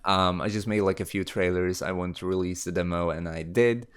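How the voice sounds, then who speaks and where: A man's voice: deep voice, steady tone, brisk, clear, resonant tone. A man in his late teens answering viewer questions in a casual, rambling way, with many fillers and restarts. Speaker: YouTube creator. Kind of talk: casual Q&A chat.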